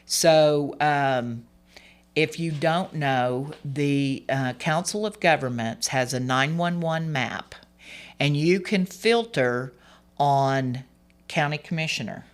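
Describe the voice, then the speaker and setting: A speaker in their forties, a hoarse and slightly gravelly voice, talking as a candidate on a radio interview, rambling through fillers and restarts in an earnest way. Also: medium pitch, takes it slowly. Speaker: political candidate.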